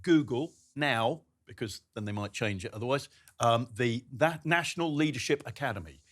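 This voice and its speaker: A man on a studio panel making a steady, explanatory argument. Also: medium pitch, moderate pace. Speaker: panel guest. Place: television studio.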